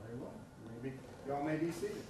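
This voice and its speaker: Middle-aged male minister, higher voice, solemn and measured, heard from far off in a reverberant church. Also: expressive, noisy background. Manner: measured, solemn liturgical delivery. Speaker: officiating minister. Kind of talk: wedding ceremony officiating. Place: church sanctuary. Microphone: far camera.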